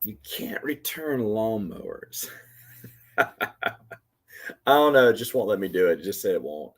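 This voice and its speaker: A man in his forties, hoarse from losing his voice, rambling in casual chat as host of a live Q&A and answering commenters by name. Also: on the low side, big pitch swings, a little slow. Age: 40s. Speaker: livestream host.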